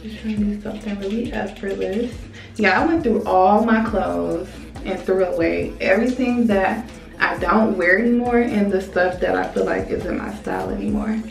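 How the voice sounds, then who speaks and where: Chatty woman in her late twenties, gravelly. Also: medium pitch, normal speed. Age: 20s. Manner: chatty, drawling, talks to viewers as y'all while doing her hair. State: a little sick. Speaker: vlogger. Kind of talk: casual vlog chat to camera. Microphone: small camera on tripod. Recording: roomy echo, mic at a distance, music behind.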